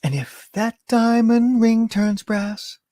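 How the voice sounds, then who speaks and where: A man's voice: very high-pitched, slow, dark tone, no echo, voice pushed up. Storybook figure: an eerie young mother crooning a lullaby, put on by a male narrator, dry close sound. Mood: sing-song crooning. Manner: sing-song crooning.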